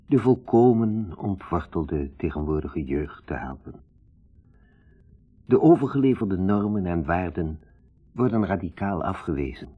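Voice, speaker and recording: An actor playing a pompous, moralizing psychologist who lectures in a 1960s radio drama. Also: male voice, lowish voice, slightly rough, muffled recording, exaggerated voice.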